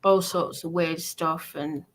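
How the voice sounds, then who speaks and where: A woman's voice: deep voice, steady tone, slow, slight background noise. A woman chatting casually, questioning and thinking things over aloud. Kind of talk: casual chat.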